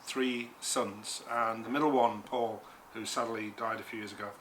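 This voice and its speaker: A man's voice: fairly low pitch, steady tone, moderate pace, fairly bright tone, a little hiss or hum, poor audio. A man from Nottingham with an English accent, a guest designer chatting outdoors in a back garden, speaking plainly with hesitant ums. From Nottingham, England. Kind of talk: casual chat.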